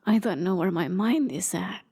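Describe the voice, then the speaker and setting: A woman's voice: mid-range voice, lively intonation, moderate pace, gravelly, warm tone, slightly rough. A woman voicing a feeble, pleading old woman traveler in a fantasy ASMR roleplay, with a hesitant way of talking. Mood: nervous, feeble.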